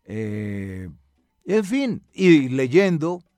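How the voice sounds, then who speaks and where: An older man with a Colombian accent gives reflective interview answers. He speaks in a measured, articulate way with formal wording, at a middling pitch. The sound is dry, with hardly any room in it. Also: very animated, dark tone, no room sound. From Medellín, Colombia. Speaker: cultural radio broadcaster and music researcher.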